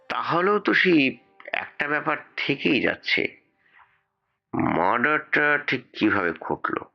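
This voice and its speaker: Male police investigator for audio detective drama, played by a male actor: gravelly, swinging intonation, emphatic, defensive, puzzled, music underneath. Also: fairly high pitch, dull audio, full character voice. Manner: emphatic, explanatory, with rhetorical questions. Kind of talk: audio story acting.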